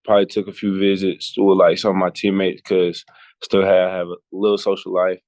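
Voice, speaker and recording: A teenage boy from Georgia, a football recruit answering interview questions politely, in a laid-back and halting way. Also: low-pitched, level tone, a little mellow, dry recording. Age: teens.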